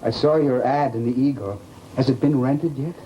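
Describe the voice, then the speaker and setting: An actor playing a mild, evasive widower in an old radio drama, polite and hesitant but outwardly cheerful. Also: male voice, medium pitch, normal speed, dark tone, close to an everyday voice. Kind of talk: 1940s radio drama acting. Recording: dull, hissy old radio recording.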